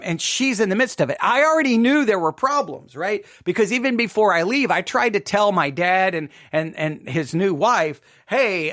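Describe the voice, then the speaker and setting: A man's voice: high-pitched, a bit bright. A man telling a personal story to his listeners as a podcast host, speaking emphatically and repeating phrases for effect.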